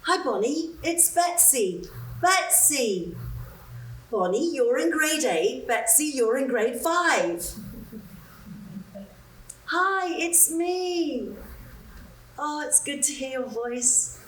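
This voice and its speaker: A woman's voice: high-pitched, expressive, very slow, pauses often, fairly bright tone, voice pushed up, exaggerated voice. A woman performing a chirpy, nervous young woman on a phone call, chattering breathlessly and apologizing. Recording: roomy sound, mic far off.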